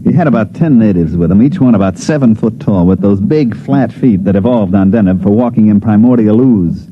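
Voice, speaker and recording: An actor playing a wisecracking, sardonic special agent in a 1950s radio drama, both speaking and narrating in a dry, hard-boiled way. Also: male voice, fairly low pitch, fairly quick, close to an everyday voice. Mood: dry, wry narration. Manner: wry, sardonic. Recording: dull lo-fi vintage radio, faint music.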